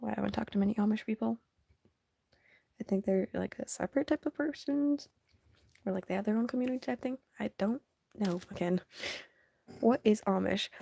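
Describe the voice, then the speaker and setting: A young woman chatting casually on a live stream while she draws, soft and half-whispered, sniffly, her voice going hoarse. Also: mid-range voice, slight background noise. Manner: soft, often half-whispered, hesitant and rambling.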